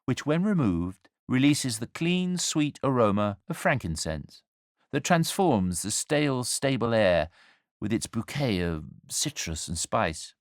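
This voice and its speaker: A man narrating a scripted story as a dramatic storyteller, with wry, measured and deliberate phrasing. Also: medium pitch, very animated, no echo, studio quality. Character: angel narrating the nativity story, wry and urbane.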